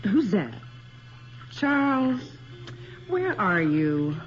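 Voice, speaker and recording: A woman playing a frightened, pleading wife in a radio horror drama, with drawn-out melodramatic delivery. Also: medium pitch, big pitch swings. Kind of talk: 1980s radio horror acting. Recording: dull, noisy radio recording.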